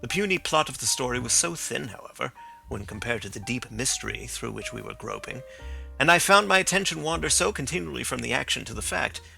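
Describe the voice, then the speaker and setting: A man narrating a classic story as an audiobook, reading in a steady, reflective way. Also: mid-range voice, gravelly.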